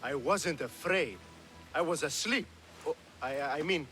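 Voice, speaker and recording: An actor playing a nervous, rambling guard who babbles excuses in a video game. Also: male voice, a little high, measured pace, fairly bright tone, faint music behind. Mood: sheepish. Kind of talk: comic video game voice acting.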